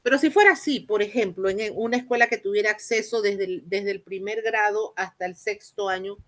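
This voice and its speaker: A woman with a Panamanian Spanish accent giving an explanatory lecture, haltingly, repeating and rephrasing phrases and posing rhetorical questions. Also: medium pitch, a bit bright. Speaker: university lecturer in teacher training.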